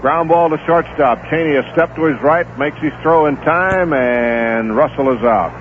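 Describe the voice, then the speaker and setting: A man calling a baseball game play-by-play on radio, steady and unhurried, filling the gaps between pitches with stats and notes on the players. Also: slight background noise. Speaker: play-by-play announcer. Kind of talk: live baseball radio commentary. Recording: tinny 1970s AM radio broadcast.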